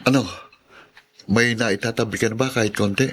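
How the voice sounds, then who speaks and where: A man's voice: medium pitch, fairly even intonation, a little fast, a bit bright, a little hiss or hum, lightly acted. A man playing an earnest, questioning young working student in a radio drama. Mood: wistful. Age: young adult. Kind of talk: Filipino radio drama acting.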